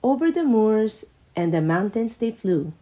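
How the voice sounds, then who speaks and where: A woman reading a rhyming children's storybook aloud with expressive narration. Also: mid-range voice, measured pace, lo-fi sound. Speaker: storytime reader.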